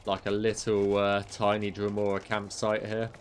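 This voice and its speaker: A man's voice: on the low side, completely flat delivery, normal speed, a little hiss or hum. A man, a game mod developer chatting casually on a livestream, rambling and answering chat with many fillers, his voice getting tired. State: losing his voice.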